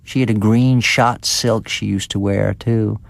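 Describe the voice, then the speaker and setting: A man's voice: on the low side, steady tone, a little slow, creaky, dry recording. A man voicing an elderly, indignant village gossip with a folksy, rustic manner of speech in a dramatised story reading. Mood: gossipy, wry. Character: elderly unmarried village woman gossiping indignantly about neighbours.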